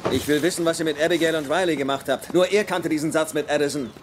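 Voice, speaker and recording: An actor playing a dry, guarded treasure hunter in a dubbed adventure film. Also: male voice, on the high side, steady tone, fast talker, noisy background, close to an everyday voice. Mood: wary. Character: wry, guarded adult male treasure hunter hero. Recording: film soundtrack with loud music.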